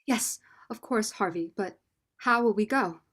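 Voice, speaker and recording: A woman's voice: medium pitch, unhurried, a bit bright. A student actress playing an anxious, doubtful married woman with earnest melodrama in a vintage radio mystery. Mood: hushed, anxious. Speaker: student actor.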